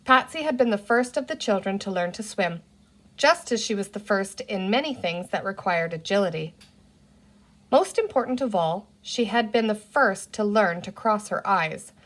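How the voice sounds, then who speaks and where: A woman narrating a children's adventure story as an expressive read-aloud storyteller. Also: mid-range voice.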